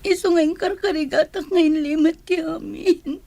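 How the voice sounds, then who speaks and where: A woman's voice: very high voice, steady tone, unhurried, dark tone, slight background noise. A woman playing a troubled, pleading young woman in a radio drama, with an Ilocano accent. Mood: anguished, tearful. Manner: tearful, pleading. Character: troubled young woman hiding a painful love secret.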